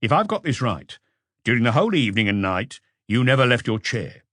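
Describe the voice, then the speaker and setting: A man's voice: mid-range voice, sing-song, fairly quick, a bit bright, dry recording, studio quality. A man voicing a methodical male police questioner in a detective audiobook, terse and probing.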